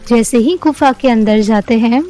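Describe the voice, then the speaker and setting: High-pitched woman telling children's stories. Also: normal speed, warm tone, faint music behind. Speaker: storyteller. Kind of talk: scripted children's story narration.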